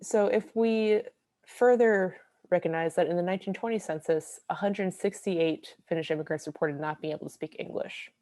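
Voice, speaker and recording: A woman's voice: fairly low pitch, normal speed, warm tone, dry recording. A young woman researcher giving a careful, explanatory slide presentation, with frequent ums.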